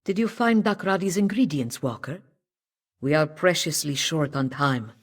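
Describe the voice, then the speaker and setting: A woman's voice: sits low, expressive, moderate pace, breathy edge. A woman playing a solemn, devout cat-folk priestess in a fantasy game, speaking earnestly. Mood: solemn, urgent. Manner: speaks of herself in third person, earnest. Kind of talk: scripted fantasy game dialogue.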